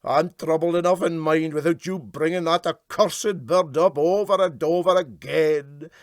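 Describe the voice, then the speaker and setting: A man's voice: fairly high pitch, steady tone, a little slow, no echo, clean studio sound, voice pushed up, lightly acted. A man voicing a penitent Scottish steamboat captain in a comic story, stammering, lamenting and pleading. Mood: piteous. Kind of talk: comic storybook character reading.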